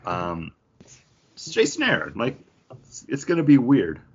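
A man's voice: mid-range voice, huge pitch swings, unhurried, muffled recording, a little hiss or hum. A man co-hosting a comic book podcast in casual, conversational chat.

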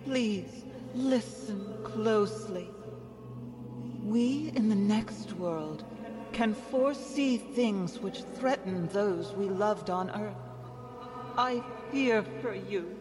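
A woman playing the solemn ghost of a dead woman in a radio drama, speaking in a drawn-out chant. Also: medium pitch, over music. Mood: solemn, foreboding. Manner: slow, drawn-out, chanting delivery. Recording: long cavernous reverb, noisy background.

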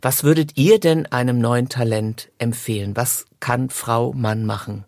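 A man's voice: mid-range voice, unhurried, darkish tone, no echo, studio quality. A man with hosting a podcast, asking questions in a casual interview style.

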